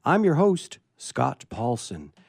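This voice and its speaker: A man hosting a radio show casually in a studio, playful and a bit halting, with theatrical emphasis. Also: mid-range voice, huge pitch swings, creaky, a little mellow, husky, no echo. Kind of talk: casual, unscripted introduction.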